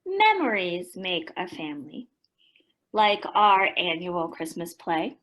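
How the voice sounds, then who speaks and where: A woman's voice: lowish voice, very animated, slow, fairly bright tone, full character voice. An actress playing a spirited young woman who narrates family life in a radio play. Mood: fond.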